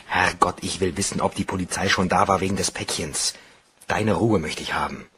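An actor playing an anxious, irritable bank cashier with a guilty secret in a German crime radio play, nervy and brooding. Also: male voice, sits low, brisk, noisy background, close to an everyday voice. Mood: irritated.

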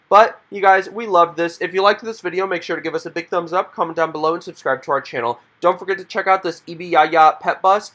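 Chatty upbeat guy vlogging in a mall, bright punchy voice, kinda high, filming on his phone. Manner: upbeat, chatty, addresses viewers as you guys. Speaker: vlog host. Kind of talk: casual vlog narration. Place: indoor shopping mall.

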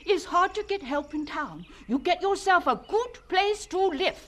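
A woman's voice: extremely high pitch, expressive, moderate pace, a bit bright. An actress playing a fretful, motherly immigrant farmer's wife, speaking anxious broken English in a radio drama. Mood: anxious farewell.

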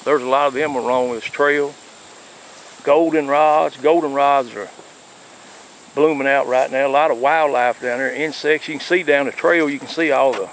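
A man filming as he walks a nature trail by a river, narrating casually with many fillers and pauses, unsure of plant names. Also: medium pitch, low-quality recording. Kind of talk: casual narration while walking. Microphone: handheld camera mic. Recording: faint outdoor noise with crickets.

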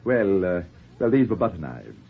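An actor playing a breezy, flippant middle-aged runaway husband in a 1940s radio play, with glib, dryly witty, theatrical delivery. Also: male voice, low voice, big pitch swings, moderate pace, gravelly, breathy edge, heavily acted. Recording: muffled, scratchy 1940s radio recording.

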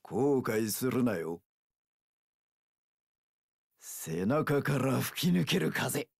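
An actor voicing a brash, rough-talking young pirate warlord in a video game, gravelly, with delinquent gang-boss speech and drawn-out battle cries. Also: male voice, mid-range voice, animated, no echo, clean studio sound, heavily acted. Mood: low, brooding. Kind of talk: video game character voice lines.

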